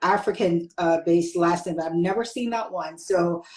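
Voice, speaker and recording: A woman's voice: fairly low pitch, normal speed, darkish tone, reverberant. A woman hosting her own interview show, chatty and meandering, often restarting her sentences. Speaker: talk show host. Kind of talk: casual interview chat.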